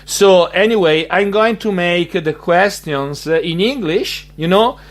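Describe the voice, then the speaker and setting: A man's voice: sits high, unhurried. An Italian man with an Italian accent hosting a casual interview, speaking hesitantly with many fillers. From Italy. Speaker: podcast host.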